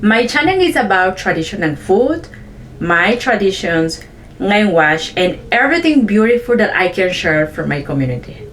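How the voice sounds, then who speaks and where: A woman's voice: mid-range voice, very animated, normal speed, a bit bright, a little hiss or hum. Earnest woman, Mayan-speaking vlogger. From Chiapas, Mexico. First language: Chong (a Mayan language). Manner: earnest, simple sentences, careful non-native English. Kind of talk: reflective personal narration.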